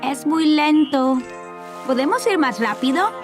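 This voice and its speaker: A woman playing an excitable, imaginative four-year-old boy in a children's cartoon, with a childlike voice. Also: measured pace, over music. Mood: pleading. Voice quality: high childlike voice. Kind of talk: dubbed children's cartoon acting.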